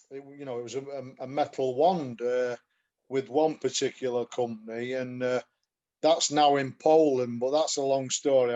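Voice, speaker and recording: A man with a broad Yorkshire accent talks slowly over a video call, chatty and self-deprecating, laughing at himself now and then. His voice sits at an everyday middle pitch, and the call audio is dull and lo-fi. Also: dark tone. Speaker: guest giving a testimonial. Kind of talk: casual video call chat.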